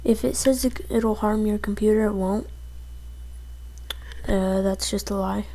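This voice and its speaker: A woman presenting a casual software tutorial as she goes through the steps on a computer. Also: mid-range voice, steady tone, measured pace.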